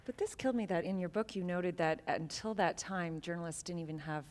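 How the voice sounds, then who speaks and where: A woman interviewing an author before a live audience, engaged and quick with affirmations. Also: lowish voice, darkish tone. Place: large event hall.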